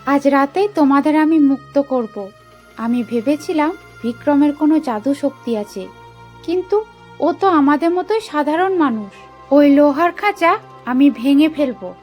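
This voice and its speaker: Woman as a wronged young cartoon mother, very high voice, declamatory, defiant, grieving, music underneath. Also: expressive, unhurried, a little mellow. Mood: resolute. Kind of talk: dramatic animated moral story acting.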